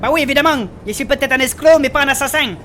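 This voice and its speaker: Man acting slow-witted male rogue, fast, sharp high voice, colloquial, questioning, comedy audio drama, faint vehicle noise. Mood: indignant.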